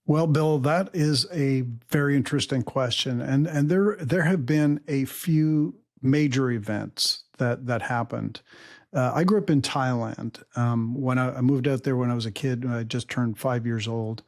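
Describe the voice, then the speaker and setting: A middle-aged man, a native German speaker raised abroad, recounting his family history in a measured, reflective way with frequent pauses and fillers. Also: medium pitch, darkish tone. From Canada. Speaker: podcast host and guest. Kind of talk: personal storytelling interview.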